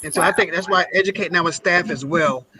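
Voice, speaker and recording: A man's voice: high-pitched, a crowd in the background. A man speaking as a guest on a community panel, playful and joking. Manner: playful, joking, drawn-out asides. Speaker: panel guest, council member. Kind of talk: casual panel chat.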